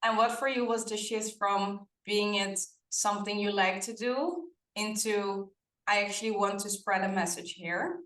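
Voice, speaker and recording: A woman hosting a podcast, asking questions in a halting way and restarting her sentences. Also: mid-range voice, a bit bright, far from the mic. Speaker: podcast host. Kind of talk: interview questions. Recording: roomy, from off mic.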